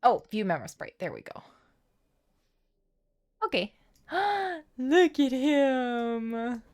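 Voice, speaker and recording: A woman's voice: a little high, very animated, extremely slow, darkish tone. A woman livestreaming a game, thinking aloud in a casual, playful way. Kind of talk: casual gameplay commentary.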